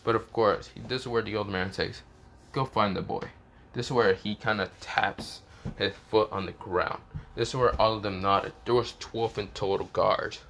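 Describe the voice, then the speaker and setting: A man who is sick and coughing, telling a fan fiction story in a rambling, halting way, often restarting with "this is where, well". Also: lowish voice, fairly bright tone, slight background noise.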